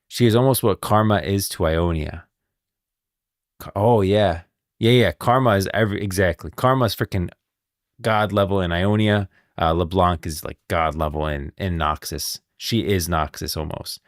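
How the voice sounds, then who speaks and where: A man's voice: low-pitched, moderate pace, no echo, studio quality. A man streaming casual game lore commentary, thinking aloud in an informal way. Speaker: live streamer.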